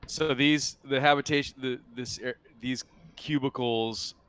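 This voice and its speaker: A man narrating an improvised tabletop roleplaying game as game master, in casual, halting phrases. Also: medium pitch, steady tone, fairly bright tone, clean tone.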